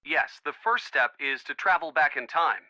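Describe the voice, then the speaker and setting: Very bright, earnest young man. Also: on the high side, expressive, moderate pace, no room sound. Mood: friendly, helpful. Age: young adult. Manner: earnest, chatty, reassuring. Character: friendly young man, earnest, bookish, supportive boyfriend type. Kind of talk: video game voice acting, phone conversation.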